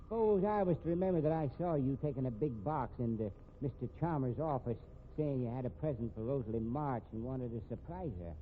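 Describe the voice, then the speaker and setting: An actor playing a sly, grudging older working-class blackmailer in 1950s radio drama, folksy and ungrammatical, repeating himself. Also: male voice, medium pitch, fairly quick, hardly any pauses, lightly acted. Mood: sly, wheedling. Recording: tinny, noisy vintage radio recording.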